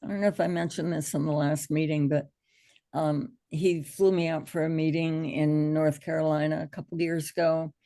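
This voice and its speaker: A middle-aged American woman with a gravelly, hoarse allergy voice, informally teaching an online hypnosis class in an encouraging, reassuring way. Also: low-pitched, level tone, normal speed, warm tone.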